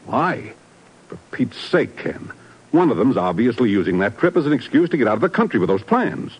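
An actor playing a gruff, worried intelligence bureau chief in a 1950s radio drama, with an urgent, emphatic delivery. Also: male voice, mid-range voice, sing-song, moderate pace, rough recording. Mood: alarmed, exasperated.

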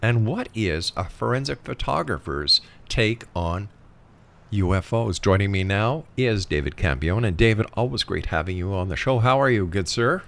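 Male talk radio host, lowish voice, conversational on-air presenting. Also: lively intonation, normal speed, gravelly, a bit bright.

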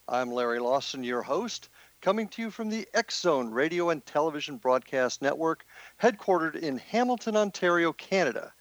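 A man's voice: fairly high pitch, lively intonation, moderate pace, no echo. A man hosting a radio talk show, speaking in a friendly way with fillers and restarts. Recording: dull lo-fi, dry sound.

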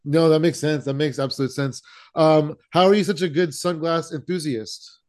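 Upbeat higher-voiced male podcast host. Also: fairly even intonation, moderate pace, darkish tone. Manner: enthusiastic, casual, fillers like "like" and "man". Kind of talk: casual podcast interview.

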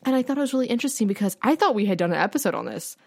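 A young woman co-hosting a casual podcast, chatting quickly and brightly, full of "like" and laughter. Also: medium pitch, sing-song, creaky, dry recording, studio quality. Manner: fast, bubbly, filler-heavy talk with lots of laughing. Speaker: podcast co-host. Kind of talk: casual chat.

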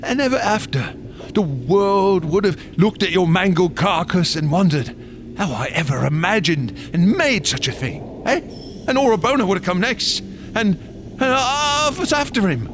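An actor playing a deranged, fanatical wax sculptor in a horror radio drama, theatrical and gloating. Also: male voice, high-pitched, lively intonation, a little slow, background noise. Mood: boastful menace. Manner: theatrical, swinging from whispers to shrieks, cackling.

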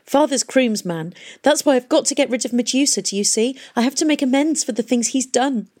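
Desperate young heroine, voiced by a woman narrator, raised pitch, urgent, pleading, storybook drama. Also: expressive, a little mellow. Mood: bitter resolve. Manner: pleading, emphatic, rushing into plans.

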